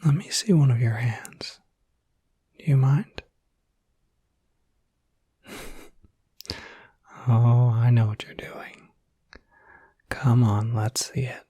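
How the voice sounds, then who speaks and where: Man acting gentle werewolf, slow breathy whisper. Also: medium pitch, no room sound. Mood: tender, reassuring. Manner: hesitant, reassuring, casual. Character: gentle, caring young male werewolf looking after someone. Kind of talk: whispered ASMR roleplay, intimate and addressed to the listener.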